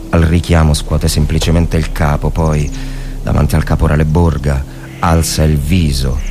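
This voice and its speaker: A man reading a literary war story aloud as a dramatic narration. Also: very deep voice. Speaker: literary reader. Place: radio reading with ambience behind. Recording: clear background ambient noise.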